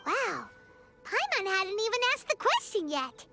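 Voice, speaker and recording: A woman voicing a tiny excitable fairy-like female companion who speaks of herself in the third person. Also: very high voice, unhurried, over music, full character voice. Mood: teasing. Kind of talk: game cutscene voice acting.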